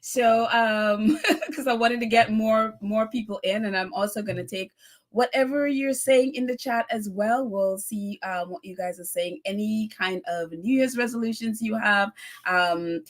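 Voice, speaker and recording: A woman's voice: medium pitch, fairly even intonation, unhurried. A woman hosting a casual live stream, reading out viewer comments in a chatty, effusive way, laughing often and using plenty of fillers. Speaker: host of a royal news live stream.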